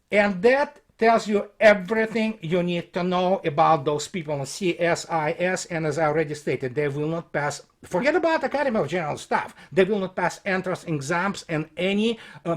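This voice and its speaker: A man giving unscripted, opinionated commentary in a scornful, emphatic and halting manner, stumbling and repeating himself as he goes. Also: high voice. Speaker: military commentator. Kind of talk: unscripted opinionated commentary, quoting and critiquing a report.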